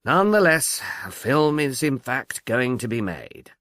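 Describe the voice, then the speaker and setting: A man voicing an irritable, witty professor of English in an audiobook, brisk and dryly emphatic. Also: medium pitch, big pitch swings, a bit bright, dry recording, studio quality. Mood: gloomy, dogged. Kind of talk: audiobook character dialogue.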